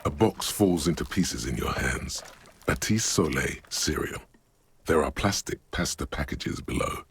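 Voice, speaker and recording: An actor narrating a detective game in a brooding, deliberate, literary manner with a very deep voice. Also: male voice, slightly rough, exaggerated voice. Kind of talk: scripted second-person narration.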